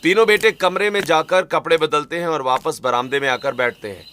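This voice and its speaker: A man's voice: a little high, fairly quick, sharp tone, a little hiss or hum. A man reading scripted narration for a cartoon story as the narrator.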